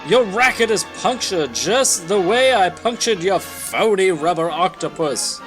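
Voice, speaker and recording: A man voicing a heroic, indignant deep-sea action hero from a golden-age comic, with declamatory, melodramatic delivery. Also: lively intonation, measured pace, a bit bright, soft background music. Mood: triumphant.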